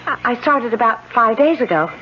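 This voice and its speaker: Actress doing earnest fragile young woman in 70s radio play, halting, very lively pitch, slightly hissy tape. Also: natural voice. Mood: hesitant, earnest. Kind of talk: 1970s radio drama acting. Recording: noisy muffled vintage radio broadcast.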